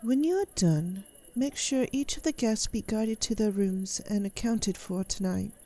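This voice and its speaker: Woman acting as composed elf queen, soft-toned. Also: medium pitch, unhurried. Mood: calm command. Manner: regal, formal. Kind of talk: fantasy audio drama roleplay.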